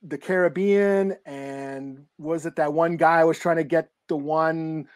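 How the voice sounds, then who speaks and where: A man co-hosting a podcast, speaking in a relaxed, halting way, recapping a show. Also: a little high. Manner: relaxed, halting, many fillers and restarts. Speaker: podcast co-host. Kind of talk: casual chat, recapping a show.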